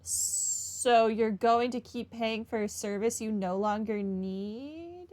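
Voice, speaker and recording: A woman's voice: on the high side, takes it slowly, darkish tone. A woman giving casual, sarcastic, skeptical commentary as she plays a game. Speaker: let's-play commentator. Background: game music.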